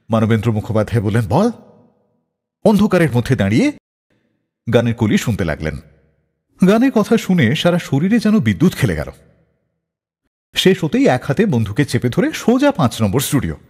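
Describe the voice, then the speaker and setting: A husky-voiced man hosts a radio show, telling tales theatrically. His pitch swings widely as he builds suspense, and long reverb trails behind his words. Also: huge pitch swings, normal speed, pauses often, darkish tone. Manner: theatrical, suspenseful delivery, acting out quoted lines. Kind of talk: dramatic radio storytelling between songs.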